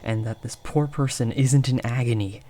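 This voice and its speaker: A stern, uneasy male researcher, played by a man questions a captive creature in a horror audio drama. His delivery is flat and clinical with an accusing edge, and there is faint background noise. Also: mid-range voice, normal speed, close to an everyday voice. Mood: stern, resolute. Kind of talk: horror audio roleplay acting.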